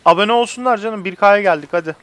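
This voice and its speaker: A man's voice: sits high, fast, fairly bright tone, no echo. A young man hosting a gaming video, giving casual let's-play commentary while chatting with friends. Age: young adult. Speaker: host of a gaming video channel. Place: indoors at a computer, webcam shown.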